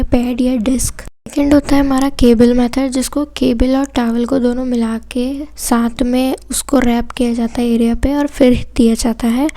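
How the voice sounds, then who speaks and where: High, even-voiced Indian woman teaching. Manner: simple, point-by-point explaining with English technical terms mixed in. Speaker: physiotherapy lecturer. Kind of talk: explanatory lesson.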